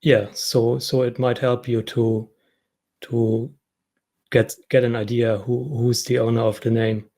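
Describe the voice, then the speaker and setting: A man with a German accent speaks at an informal tech talk. His voice is dark and mellow, with very little movement in pitch. He is hesitant, often restarting and repeating his words as he explains. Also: level tone, unhurried. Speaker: conference speaker, software developer.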